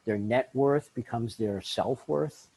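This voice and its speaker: A middle-aged man, a life coach, telling his story in an interview, often jumping into lively quoted voices. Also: slow, vocal fry, slightly rough, low-quality recording. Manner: acts out quoted voices with big pitch jumps. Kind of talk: animated storytelling in a podcast interview.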